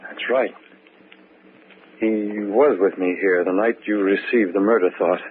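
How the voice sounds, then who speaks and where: A man's voice: on the low side, steady tone, a little slow, husky. An actor playing a suspicious, blustery husband protective of his wife in an old-time radio mystery. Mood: uneasy. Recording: muffled tinny old radio recording.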